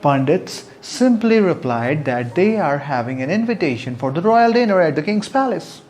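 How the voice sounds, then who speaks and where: A storyteller narrating a scripted moral tale, with dramatic pauses and rhetorical questions. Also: medium pitch, sing-song, a little mellow. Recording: music bed under the voice. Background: relaxing meditational music.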